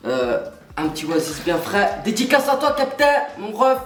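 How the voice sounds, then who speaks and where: A man's voice: high-pitched, sing-song, normal speed, background noise. A young man, stuffy from a cold, chatting casually and slangily to his viewers in a vlog. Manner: slangy, pumped-up, talks straight to viewers. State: stuffy nose, has a cold. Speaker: YouTuber.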